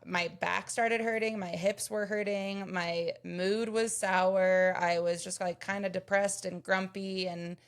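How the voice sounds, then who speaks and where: A woman's voice: medium pitch, fairly even intonation, measured pace, hardly any pauses, smooth. A woman in her thirties, a podcast co-host, chatting casually and earnestly, explaining her points with everyday examples. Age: 30s. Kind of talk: casual chat.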